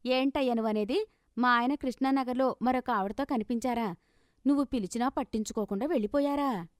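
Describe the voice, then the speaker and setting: A raised, high voice for a sharp-tongued housewife acted out by a female narrator. She is a suspicious cartoon customer, indignant and demanding in her complaints, recorded close and dry. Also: brisk, no room sound, lightly acted. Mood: suspicious, alarmed. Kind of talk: cartoon moral story character acting.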